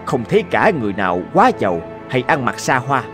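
Proud stern emperor for a cartoon, imperious, pitch moves a lot, music under it. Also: male voice, sing-song, a little fast, fairly bright tone. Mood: puzzled, commanding. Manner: imperious, declamatory. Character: proud, stern male conquering emperor, commanding and curious. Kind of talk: dubbed cartoon dialogue.

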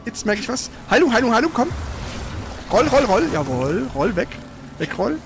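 A man giving casual, colloquial live commentary while playing a video game, prone to excitable exclamations. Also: very high-pitched, moderate pace, vocal fry, background noise. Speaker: let's player. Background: loud video game sound.

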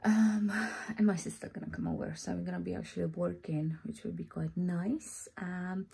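A woman's voice: lowish voice, fairly even intonation, normal speed, gravelly, warm tone, breathy edge. A woman in her twenties chatting casually to her vlog audience, recorded on a phone in a small room at home. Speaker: vlogger.

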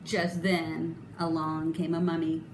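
A middle-aged woman reading a children's picture book aloud expressively, with deliberate, dramatic emphasis. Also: low voice, monotone. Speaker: storybook reader. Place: indoor room, voice a little echoey. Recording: roomy echo, clear background noise.

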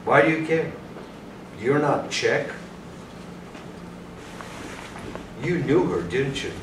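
A man's voice: mid-range voice, animated, natural voice. A middle-aged man playing a stern, relentless police detective interrogating a suspect in a staged play reading. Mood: quietly menacing. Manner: interrogating, menacing, deliberate. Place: small indoor venue, before a seated audience. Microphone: far camera. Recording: roomy distant stage recording with noise.

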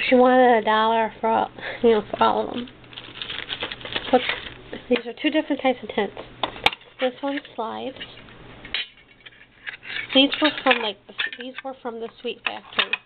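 A woman giving a casual, halting show-and-tell of her craft haul, trailing off and rephrasing as she goes. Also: on the high side. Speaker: hobby crafter showing purchases. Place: indoors at a desk. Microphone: handheld camera's built-in microphone. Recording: muffled phone-like sound, clicky background noise.

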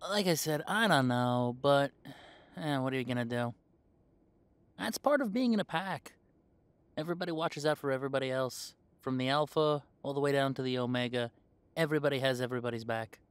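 A man's voice: medium pitch, expressive, fairly quick, clean tone, dry recording, close to an everyday voice. A man playing an easygoing, confident young werewolf in a roleplay audio drama, talking in a chatty, hesitant, conversational way. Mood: reflective, easygoing. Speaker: voice actor.

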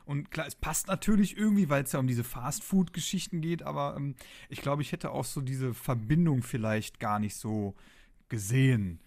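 A man's voice: medium pitch, moderate pace, darkish tone. A man in his thirties, a podcast host chatting casually and informally. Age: 30s.

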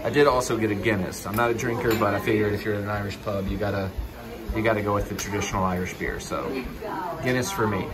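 A man in his thirties with a fairly deep voice talks casually to his phone camera. He has a jokey, teasing vlogger delivery, with lively restaurant chatter around him. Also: fast. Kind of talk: casual vlog chat. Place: small pub booth. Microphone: handheld phone camera. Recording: busy restaurant chatter behind.

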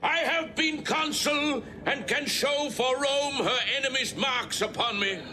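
Dignified Roman ex-consul, oratorical, slow, classical drama, crowd noise. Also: male voice, very high-pitched. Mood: earnest pleading. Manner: earnest, formal, oratorical. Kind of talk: classical Shakespearean stage acting.

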